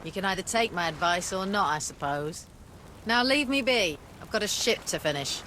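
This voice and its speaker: An actress playing a gruff, no-nonsense female shipwright who talks bluntly and dismissively in a game cutscene. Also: mid-range voice, animated, moderate pace, noisy background, close to an everyday voice. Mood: brusque. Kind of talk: video game cutscene voice acting.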